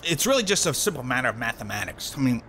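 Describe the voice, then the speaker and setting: A man's voice: mid-range voice, very animated, fast talker, pushed and bright, a little hiss or hum. A man in an audio drama playing a cocky, eccentric starship engineer, chatty, slangy and rambling. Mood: excited, breezy.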